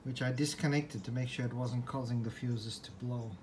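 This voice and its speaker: A man's voice: medium pitch, steady tone, normal speed, darkish tone. Man explaining over background noise. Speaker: hobbyist restorer presenting a repair. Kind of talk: informal unscripted workbench walkthrough. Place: indoors at a desk in a room. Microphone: handheld phone or camera, behind the lens. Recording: handheld phone video with background noise.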